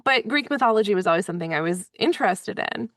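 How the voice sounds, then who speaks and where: A woman podcast host with a mid-range voice chats with lively enthusiasm. She leans into emphatic words and keeps a friendly, conversational flow. The recording is close and dry. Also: mid-range voice, fast, dry recording. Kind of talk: casual conversational interview.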